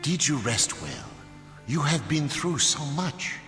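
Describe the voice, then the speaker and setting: Echoing, solemn old martial arts master. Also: male voice, on the high side, huge pitch swings, creaky, music in the background, heavily acted. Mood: gentle, proud. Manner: measured, solemn, fatherly. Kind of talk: game cutscene voice acting. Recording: echoey hall with long reverb.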